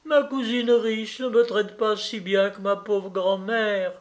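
A man's voice: high-pitched, little pitch movement, takes it slowly, pitched above the performer's own voice. A man voicing a wounded but defiant young orphan girl in a storybook reading, plaintive and earnest. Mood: hurt, defiant.

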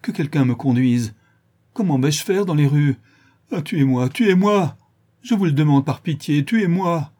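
A brutal brigand struck blind, from a storybook, acted out by a male reader. The voice jumps high and loud, with exclamations and anguished repeated words. It keeps a soft, husky grain. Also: expressive, moderate pace. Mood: terrified pleading. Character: brutal male brigand, newly blinded, raging then pitifully despairing.

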